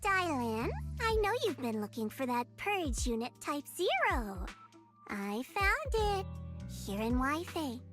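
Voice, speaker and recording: A woman's voice: high voice, very animated, takes it slowly, a bit bright, soft background music, heavily acted. A woman playing a shrewd, businesslike informant in a video game, with a self-assured, teasing manner. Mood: sly, teasing. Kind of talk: scripted game voice acting.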